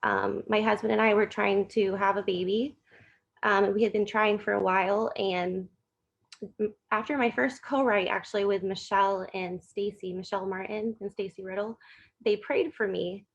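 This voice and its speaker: A woman's voice: medium pitch, fairly even intonation, normal speed, creaky. A young American woman giving a casual, conversational testimonial on slightly muffled, lo-fi audio. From Florida, United States.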